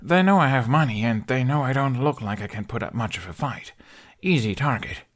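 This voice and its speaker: Young adventurer boy, male narrator's put-on, urgent and cheeky, lifted pitch, storybook read. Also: lively intonation, brisk, lightly acted. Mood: exasperated. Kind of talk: audiobook character voice.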